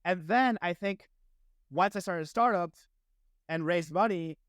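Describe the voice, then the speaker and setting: A young man from France, still carrying a French accent in his English, talks as a guest with quick, upbeat energy. His voice sits a touch high and comes across smooth and clean, in a dry, close sound. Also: no room sound. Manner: upbeat, energetic, heavy use of "like". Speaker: guest entrepreneur. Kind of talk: casual interview conversation.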